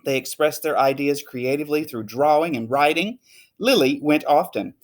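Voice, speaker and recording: A man who works as a teacher, reading a children's story aloud in an expressive, emphatic way. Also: on the high side, normal speed. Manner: animated, emphatic.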